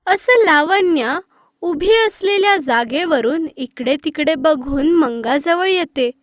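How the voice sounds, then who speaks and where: A woman storyteller with a high voice reads a scripted children's tale. The recording is very dry and close. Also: animated, slow, no room sound. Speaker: narrator.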